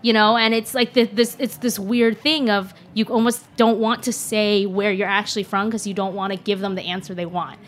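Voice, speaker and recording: A woman's voice: mid-range voice, flat delivery, moderate pace, few pauses. A young adult woman chef, a guest in a casual interview, talking earnestly and reflectively, with plenty of "like" and "um". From United States (born in Shanghai). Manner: earnest, reflective, frequent fillers like and um.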